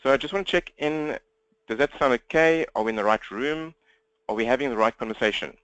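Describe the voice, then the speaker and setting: South African male trainer, rapid direct patter, mid pitch, dry room. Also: fairly bright tone, clean tone, no room sound, dull audio. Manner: fast-talking, upbeat and direct. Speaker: webinar trainer. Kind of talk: live webinar presentation. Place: at home desk speaking to laptop. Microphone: laptop.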